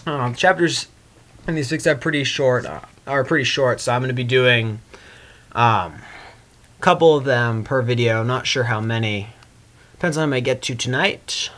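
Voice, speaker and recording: A man doing an amateur, expressive reading of a first-person story, with casual delivery. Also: medium pitch, moderate pace. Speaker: fan fiction reader.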